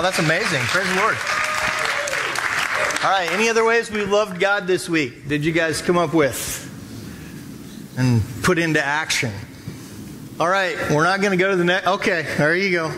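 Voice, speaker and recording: A man's voice: high voice, normal speed, long pauses, a loud crowd, very noisy. An American man in his forties speaking as a pastor, upbeat and casual, chatting informally with his congregation from a church stage. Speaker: pastor leading a church service. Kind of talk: informal interactive sermon. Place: church auditorium stage, indoors.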